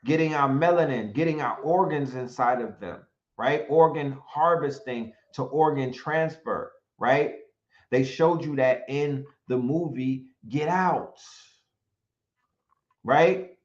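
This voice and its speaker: A man speaking in an animated, emphatic commentary, often throwing in rhetorical questions and sometimes reading from notes. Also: medium pitch, slow. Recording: roomy, dull lo-fi sound.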